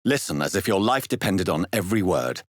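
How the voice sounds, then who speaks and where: A man with a British accent playing a grave, formal gentleman vampire doctor in a dramatic video game, husky-voiced and precise in his diction. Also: deep voice, animated, brisk, dry recording, clean studio sound. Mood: stern, menacing.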